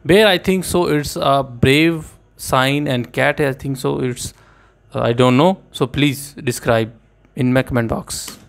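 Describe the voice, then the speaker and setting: A man's voice: medium pitch, unhurried, creaky. A man in his thirties, not a native English speaker, hosting a casual reaction video, chatting with many hesitations and exclamations in a small room. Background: faint music from the reacted video.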